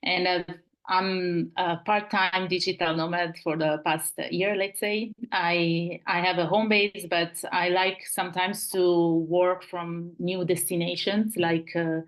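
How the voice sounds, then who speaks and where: Italian woman presenting on a video call, slightly low voice, halting delivery with frequent restarts. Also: level tone, rough recording. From Italy. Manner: halting, with many restarts and searching for words. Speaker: webinar host and project manager. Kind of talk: online webinar presentation.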